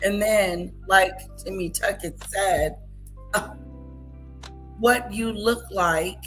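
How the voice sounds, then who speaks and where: A woman's voice: medium pitch, drawn-out words, a bit bright, faint music behind. A woman hosting a reaction commentary, scolding and incredulous as she picks apart a clip. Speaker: commentary channel host.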